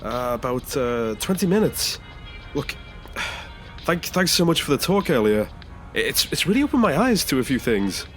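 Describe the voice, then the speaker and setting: A man's voice: mid-range voice, big pitch swings, unhurried, a bit bright, faint music behind. A man playing a nervous, earnest passenger in an audio drama, speaking hesitantly with small stammers. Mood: anxious. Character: nervous ageing male passenger seeking a new body. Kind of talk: naturalistic audio drama acting.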